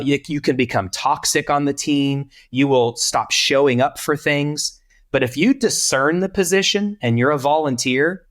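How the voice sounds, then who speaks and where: Animated man giving leadership advice. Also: medium pitch. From Texas, United States. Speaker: podcast panelist, church leadership coach. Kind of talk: conversational advice on a podcast.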